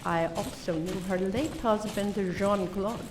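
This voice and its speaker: Woman playing a gushing, slow-talking Spanish socialite. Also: medium pitch, natural voice. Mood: gushing, coy. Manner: theatrical, gushing, drawn-out emphasis. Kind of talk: comic radio play acting. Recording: distant mic with background noise.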